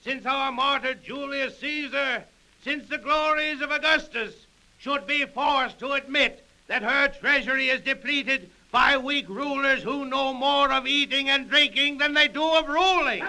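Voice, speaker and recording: An actor playing a grandiose, goading Roman Praetorian officer running an auction in declamatory 1930s radio drama style. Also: male voice, very high voice, unhurried, pushed and bright, exaggerated voice, low-quality recording. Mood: scornful lament. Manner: declamatory, theatrical oratory. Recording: old 1930s radio recording.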